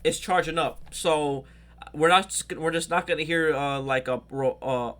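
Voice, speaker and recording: A man hosting a casual reaction video, excitable and exclaiming at what he watches, talking straight to his viewers. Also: on the high side, unhurried.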